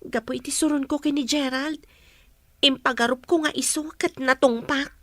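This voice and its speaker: Woman playing a frank student daughter in a radio drama, high bright voice. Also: fairly even intonation, moderate pace, no echo. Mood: chatty, sheepish. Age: young adult. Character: young female student, frank daughter confiding in her mother. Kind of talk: radio drama acting in Ilocano.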